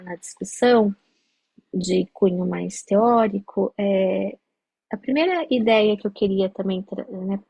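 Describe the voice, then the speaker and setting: A Brazilian woman lectures at a teachers' meeting, her voice slightly husky. She explains patiently, with plenty of fillers and restarts. The recording is dry and close, with little room sound. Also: mid-range voice, darkish tone, no echo. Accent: Brazilian Portuguese. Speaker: lecturer on teaching Portuguese to migrants. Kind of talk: academic talk at a meeting.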